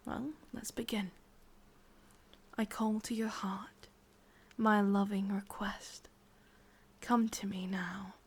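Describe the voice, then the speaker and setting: A woman performs a sadistic, controlling witch for an ASMR roleplay. Her English-accented voice is breathy and often drops into a full whisper. She takes her time over each phrase, intimate and doting while staying firmly in command. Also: medium pitch, heavily acted. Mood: hushed, intent. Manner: slow, deliberate, intimate delivery.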